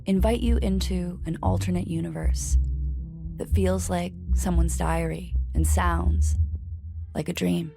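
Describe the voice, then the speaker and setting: A woman's voice: lowish voice, steady tone, measured pace, gravelly, over music. A woman from Canada hosting, reading an intimate scripted podcast introduction. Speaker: podcast host.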